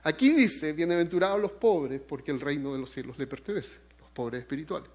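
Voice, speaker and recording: A man from Chile with a Chilean accent, preaching a sermon with lively swings in pitch and loudness and joking asides to the congregation. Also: fairly quick. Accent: Chilean Spanish. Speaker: pastor.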